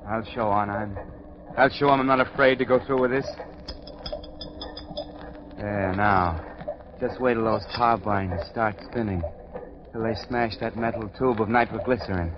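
A man's voice: on the low side, fairly even intonation, a little slow, long pauses, background noise, lightly acted. An American man playing a desperate, defiant saboteur in a vintage radio drama, with an edgy, emphatic delivery. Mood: muttering, vengeful. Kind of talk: 1940s radio drama acting. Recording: muffled old radio recording.

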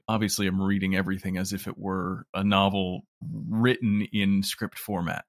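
A man with a low voice talks in a dry recording. He explains things conversationally in long, flowing sentences, like a producer being interviewed. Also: no echo. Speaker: audio drama producer.

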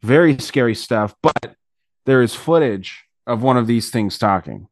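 A man's voice: mid-range voice, lively intonation, a little slow, noisy background. A man hosting a podcast in casual chat, talking in a profane, slangy way. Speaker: podcast host.

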